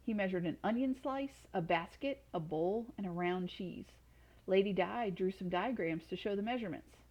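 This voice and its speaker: A woman's voice: mid-range voice, moderate pace, a little mellow. A woman storyteller reading expressively to children. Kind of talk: expressive storybook read-aloud.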